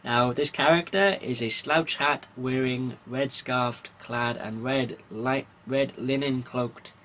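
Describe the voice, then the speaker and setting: A man from Wales gives an informal vlog-style news rundown in a halting, hesitant way, pausing often with ums. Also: medium pitch, steady tone, a bit bright, slight background noise. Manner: halting, hesitant delivery with frequent pauses and ums. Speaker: comics vlogger.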